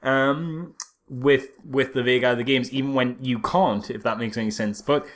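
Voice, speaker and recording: A man's voice: mid-range voice, fairly even intonation, moderate pace. A man co-hosting a casual comedic film discussion, rambling and sarcastic, slipping into mock quotes. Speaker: podcast co-host reviewing films.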